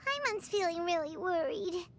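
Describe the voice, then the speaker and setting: Squeaky, excitable little fairy companion. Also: female voice, normal speed, a little hiss or hum. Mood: worried. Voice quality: squeaky, childlike. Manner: speaks of self in third person, exclamatory. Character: tiny floating fairy companion, excitable, anxious, childlike. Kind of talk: video game voice acting.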